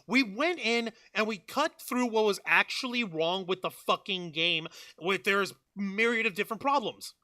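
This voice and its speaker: A man with a high, piercing voice hosts a rowdy online chat show. He jokes loudly and swears often. His exasperation comes through clearly. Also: normal speed, hardly any pauses. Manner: loud, swearing, exasperated joking. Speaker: podcast host. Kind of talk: casual comedic chat.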